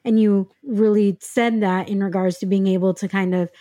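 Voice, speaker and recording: A woman's voice: medium pitch, steady tone, normal speed, warm tone, dry recording. A woman hosting a podcast, talking casually and affirmingly with many fillers. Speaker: podcast host.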